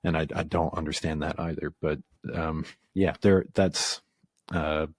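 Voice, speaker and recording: A man from the American South, a podcast guest, talking casually and reflectively with lots of pauses and fillers. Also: extremely low pitch, steady tone, dry recording, studio quality. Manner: reflective, halting, filler-heavy. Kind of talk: casual conversation.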